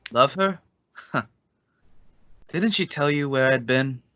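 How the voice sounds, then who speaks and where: An actor playing an unhinged, quietly menacing man in an old-time radio suspense drama, measured and sardonic, in slightly dull sound. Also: mid-range voice, no echo. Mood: bitter, sardonic.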